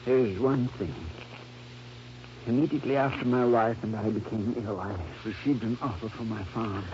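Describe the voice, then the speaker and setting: A man's voice: mid-range voice, fairly even intonation, normal speed, a little mellow, lightly acted. Man playing weary ailing farmer on old radio. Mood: earnest, uneasy. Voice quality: weak, labored delivery. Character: ailing, weary male farmer, earnest and fearful. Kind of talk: old-time radio drama acting. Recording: dull vintage radio recording, faint hum.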